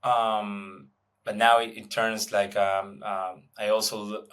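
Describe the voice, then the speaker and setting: A man's voice: on the low side, little pitch movement, takes it slowly, a bit bright, clean tone. A young man from Argentina, an English speaker, answering interview questions casually with many fillers and restarts. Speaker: guest, venture investor.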